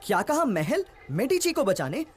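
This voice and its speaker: A woman's voice: a little high, sing-song, moderate pace, breathy edge. A woman voicing an earnest young inventor boy in a dubbed cartoon, with an eager, explaining manner. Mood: hesitant, concerned.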